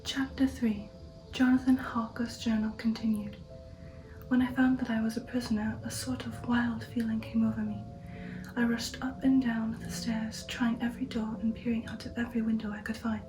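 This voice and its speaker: A woman speaking in a soft, breathy ASMR whisper, hushed and measured, as the host of a reading channel. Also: a little high, flat delivery, over music, low-quality recording.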